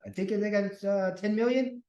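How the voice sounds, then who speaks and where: A man's voice: sits high, normal speed, a little mellow, muffled recording. A man hosting a podcast as a fitness coach, casually interviewing a guest and encouraging her as she talks. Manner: easygoing, encouraging, repeats guest's answers. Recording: echoey room.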